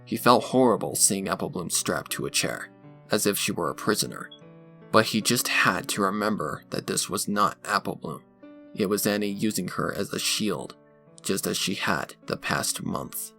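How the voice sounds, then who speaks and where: A man's voice: sits low, expressive, moderate pace, vocal fry, bright, forceful tone. A man reading scripted fan fiction as a dramatic narrator. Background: background music.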